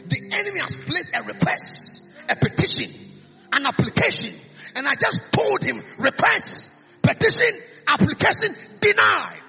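A man with a Ghanaian accent preaching a sermon, calling out lines for the congregation to repeat, dropping low and then rising to shouts. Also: very animated, moderate pace, vocal fry, soft background music. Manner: fiery call-and-response preaching, swings from hushed to shouting.

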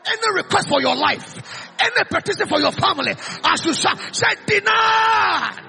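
A man with a Ghanaian accent preaching a sermon, calling out lines for the congregation to repeat, dropping low and then rising to shouts. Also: lively intonation, normal speed, gravelly. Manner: fiery call-and-response preaching, swings from hushed to shouting.

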